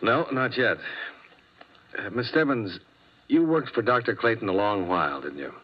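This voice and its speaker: A lowish, clipped voice of a hard-boiled investigator, dry and probing as he narrates and questions people. The sound is muffled and dull, from an old radio broadcast. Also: animated, normal speed, slightly rough. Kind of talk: 1950s radio drama narration and acting.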